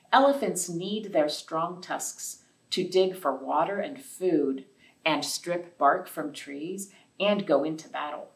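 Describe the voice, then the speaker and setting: A woman hosting a children's storytime, reading aloud and chatting simply to young listeners, with questions and asides. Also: lowish voice. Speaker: library storytime host. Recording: distant, off-mic voice.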